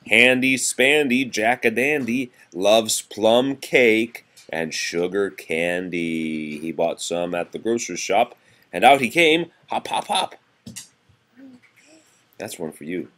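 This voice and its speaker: A man's voice: lowish voice, expressive, takes it slowly, fairly bright tone. A man reading nursery rhymes aloud in a sing-song way, chatting casually to a child between them as a parent would.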